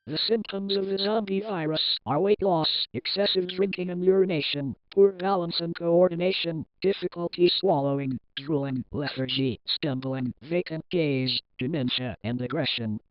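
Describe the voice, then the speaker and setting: A formal, impersonal announcer reads a scripted emergency alert in a medium-pitched voice. The sound is dry and a little dull, like lo-fi broadcast audio. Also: normal speed, hardly any pauses, no room sound.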